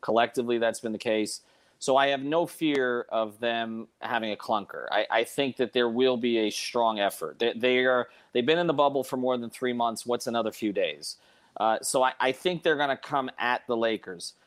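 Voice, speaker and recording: A man with a medium-pitched voice hosts a casual sports discussion. He is emphatic, often doubling back on phrases to stress a point. The sound is dry, with little room to it. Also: normal speed, dry recording. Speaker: sports podcast host.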